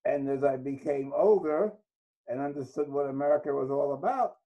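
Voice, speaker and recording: A man's voice: medium pitch, normal speed, muffled recording. An older man from Trinidad, a guest author, telling his life story in a reflective interview. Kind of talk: interview conversation.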